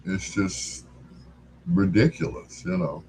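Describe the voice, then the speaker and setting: An older man with a low voice hosts a casual music livestream. He talks very slowly and in a laid-back, folksy way, with faint noise in the background. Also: dark tone. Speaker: livestream music show host.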